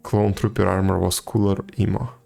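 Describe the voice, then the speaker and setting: A man chatting casually as a live game streamer, musing aloud in a low-key, halting way. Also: level tone, creaky, mellow, faint music behind.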